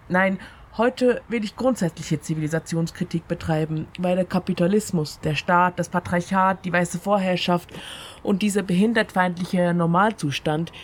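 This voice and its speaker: A woman hosting a free radio show in an informal, conversational monologue, speaking directly to the listener. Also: lowish voice. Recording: clear background noise, outdoor ambience. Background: ambience through an open window.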